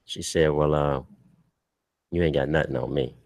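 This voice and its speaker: A man's voice: rumbling low, fairly even intonation, normal speed, vocal fry, darkish tone. A middle-aged man hosting a talk radio show from a small studio, blunt and joking as he argues his point. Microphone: studio boom mic with headphones.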